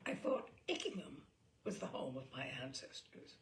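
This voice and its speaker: A woman's voice: deep voice, sing-song, normal speed, slight background noise, pitched below the performer's own voice, low-quality recording. A woman voicing a put-upon young upper-class Englishman, apprehensive and protesting, in a comic storybook reading. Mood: doubtful.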